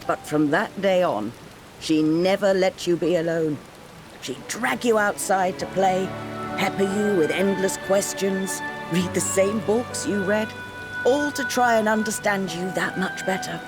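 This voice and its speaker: A woman's voice: medium pitch, lightly acted. Woman acting a tender grieving mother, music behind. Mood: fond remembering. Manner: measured, heartfelt, archaic-tinged phrasing. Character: grieving, tender, gently scolding mother of a grown daughter. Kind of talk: dramatic video game voice acting. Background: rain, music.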